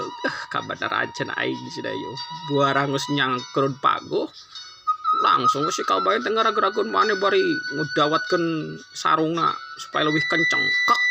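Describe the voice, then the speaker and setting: A man's voice: sits high, creaky. A man with a Sundanese accent telling a folktale informally, in a chatty, conversational way. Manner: chatty, conversational, frequent tag words. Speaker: storyteller. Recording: loud music under the voice. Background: loud music.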